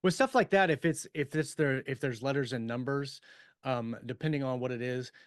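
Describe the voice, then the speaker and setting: A man's voice: mid-range voice, normal speed, no echo, studio quality. A man talking shop casually as a podcast host and writer.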